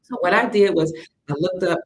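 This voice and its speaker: A woman from Cleveland hosting a livestream in a chatty, excitable way, reading viewer comments and greeting them as sister. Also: fairly low pitch, brisk. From Cleveland, Ohio. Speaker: livestream host.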